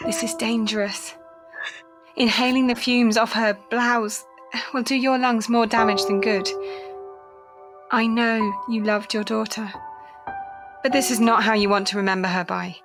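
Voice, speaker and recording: An actress playing a practical, compassionate Victorian nurse, speaking in a measured, matter-of-fact way in a period audio drama. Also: female voice, on the high side, steady tone, music in the background. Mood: firm, consoling.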